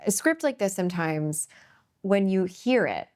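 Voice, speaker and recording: A woman's voice: mid-range voice, animated, measured pace, darkish tone, slight background noise. A woman hosting a screenplay competition panel, talking with gushing enthusiasm about a table read. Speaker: host. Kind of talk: casual panel chat.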